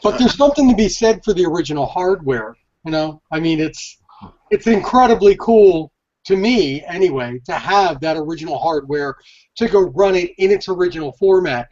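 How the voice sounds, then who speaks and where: High-voiced effusive man, dull lo-fi sound. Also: animated, moderate pace, a little mellow. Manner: effusive, folksy exclamations. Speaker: collector panelist. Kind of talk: casual chat. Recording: dull lo-fi video-call audio.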